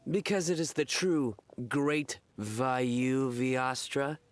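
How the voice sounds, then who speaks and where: An actor playing a stern young general in an anime game, formal and deadpan. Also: male voice, medium pitch, takes it slowly, close to an everyday voice. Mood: deadpan joking.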